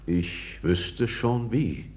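An actor playing a calm, candid foreign court councillor, speaking with measured, deferential gravity in a 1960s radio play. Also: male voice, on the low side. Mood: deferential, matter-of-fact. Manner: measured, grave, deferential yet frank.

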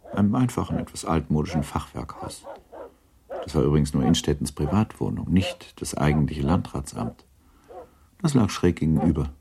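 A man narrating a literary radio play in a measured, sober storytelling manner, with a dark, gravelly, husky voice. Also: extremely low pitch.

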